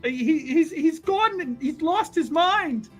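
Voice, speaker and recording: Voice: high-pitched, expressive, slow, fairly bright tone, faint music behind, voice pushed up, exaggerated voice. A player voicing a desperate, pleading man in a tabletop game, stammering and repeating himself. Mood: frantic pleading.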